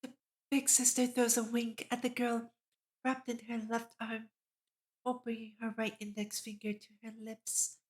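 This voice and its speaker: A woman's voice: on the high side, completely flat delivery, normal speed. A woman streamer reading a visual novel aloud, narrating and reacting as she goes. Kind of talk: livestream read-aloud with character voices and reactions.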